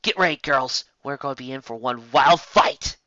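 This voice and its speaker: A man's voice: mid-range voice, normal speed, bright, forceful tone, no room sound, highs cut off, natural voice. A man voicing a sensible, bossy female unicorn pony who leads her friends in a storybook reading. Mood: firm, sensible.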